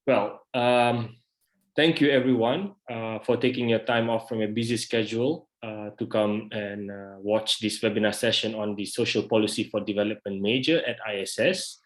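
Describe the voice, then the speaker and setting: A man's voice: lowish voice, fairly even intonation. Male moderator, hesitant delivery, roomy echo on his call audio. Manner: halting, frequent uhs. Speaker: webinar moderator. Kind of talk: formal introduction. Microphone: video call.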